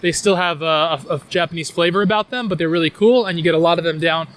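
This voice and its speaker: Brief: New York-accented man, fairly high voice, rambling outdoor tour guide, lots of ums and restarts. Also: fast talker, fairly bright tone. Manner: rambling, enthusiastic, many fillers and restarts. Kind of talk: casual walking-tour chat. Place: outdoors on city streets.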